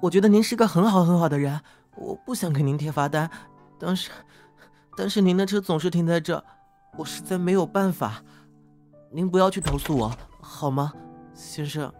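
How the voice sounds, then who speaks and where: A man's voice: fairly high pitch, normal speed, darkish tone, faint music behind, close to an everyday voice. A young man playing an earnest, easily flustered male traffic officer in an audio drama, prone to stammering. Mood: nervous, pleading.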